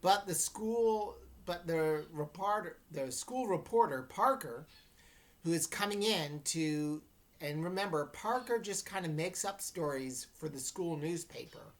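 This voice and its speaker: A woman with a low voice teaches an informal reading lesson. She speaks slowly and explains as she goes, checking that her students are following along. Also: animated. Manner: unhurried, explanatory, checks understanding. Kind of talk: informal read-aloud lesson.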